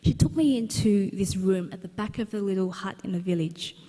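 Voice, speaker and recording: A woman's voice: mid-range voice, brisk, warm tone. A young woman, an author, telling a personal story at a book launch in an earnest, conversational way with many restarts. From Australia. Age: young adult. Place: large exhibition centre hall. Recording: long hall reverb.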